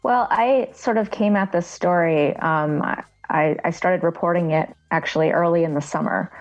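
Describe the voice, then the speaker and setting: A woman journalist answering interview questions in a thoughtful, halting way, restarting her sentences as she thinks. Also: fairly low pitch, lo-fi sound. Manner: thoughtful, hesitant, many fillers and restarts. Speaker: journalist guest.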